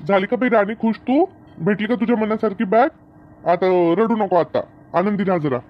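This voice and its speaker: A man's voice: high voice, moderate pace, lightly acted. A man voicing a plain-spoken, impatient village father in a comedy cartoon, blunt but placating, on a lo-fi recording. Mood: soothing. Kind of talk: Marathi comedy cartoon dub.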